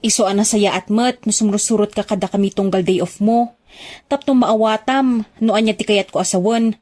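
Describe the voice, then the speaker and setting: A woman's voice: medium pitch, steady tone, brisk, darkish tone, lightly acted. A woman with an Ilocano accent playing a persuasive, knowing friend in a radio drama. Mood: coaxing. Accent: Filipino, Ilocano. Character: persuasive, knowing female friend working overseas.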